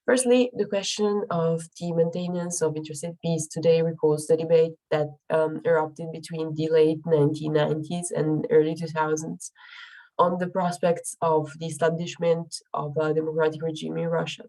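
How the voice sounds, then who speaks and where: A woman's voice: deep voice, flat delivery, normal speed, mellow, rough recording. A young woman presenting her research, her voice affected by a cold, speaking carefully with frequent ums and restarts. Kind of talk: academic presentation.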